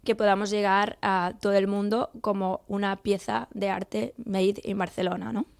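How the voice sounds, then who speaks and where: A woman's voice: mid-range voice, little pitch movement, normal speed, gravelly, no echo. A woman from Portugal, a company founder, answering interview questions hesitantly with many fillers. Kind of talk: radio interview.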